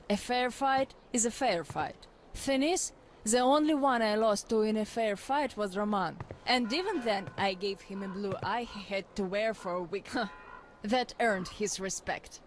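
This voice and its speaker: A woman's voice: medium pitch, slight background noise, lightly acted. An actress playing a tough, proud young woman survivor in a video game, confiding in a blunt, reflective way. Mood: proud, feisty. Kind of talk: reflective scripted game monologue.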